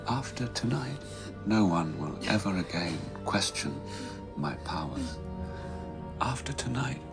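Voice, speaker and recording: Cruel fantasy dark lord, grandiose low menace, drawn-out delivery under loud score. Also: male voice, very animated, creaky, heavily acted. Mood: gloating menace. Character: cruel imperious male dark lord, gloating and theatrical. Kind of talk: fantasy film villain acting. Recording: film clip under loud music.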